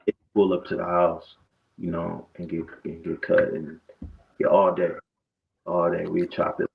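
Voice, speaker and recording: Gravelly-voiced man. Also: deep voice, monotone, a little slow. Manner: loose, conversational storytelling with repeated restarts. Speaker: barber guest. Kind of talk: casual interview talk. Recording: dull, muffled lo-fi sound.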